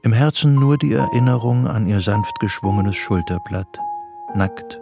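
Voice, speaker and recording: An actor narrating a psychological thriller radio play as a sober storyteller. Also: male voice, fairly low pitch, measured pace, gravelly, music in the background. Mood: sober, suspenseful. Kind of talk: scripted literary narration.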